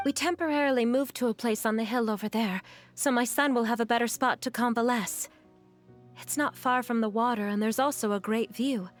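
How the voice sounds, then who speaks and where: Woman playing a higher-voiced, earnest game mother. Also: natural voice. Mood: reassuring. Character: gentle, resolute, sorrowful mother of an ailing boy. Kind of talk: video game voice acting.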